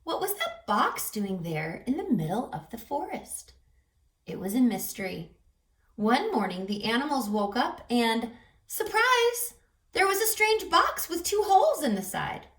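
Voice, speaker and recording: Echoey, animated woman reading to children. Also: on the high side, a little slow. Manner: expressive, teacherly, explains words and asks questions. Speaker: reading teacher. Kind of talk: children's read-aloud with questions to young listeners. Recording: echoey room, distant mic, music.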